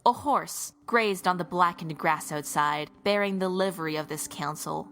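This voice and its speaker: A woman's voice: on the low side, moderate pace, fairly bright tone. A woman playing a stern, defiant holy warrior in a fantasy audio drama, speaking formally and resolutely. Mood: solemn, resolute.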